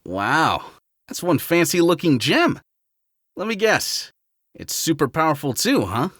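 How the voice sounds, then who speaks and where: A brash young male hedgehog hero voiced by a woman in an audio drama. The pitch is low and swings wildly with playful, slangy cockiness. The recording is close and dry. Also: sing-song, a little slow, no room sound, full character voice. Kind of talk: fan audio drama acting.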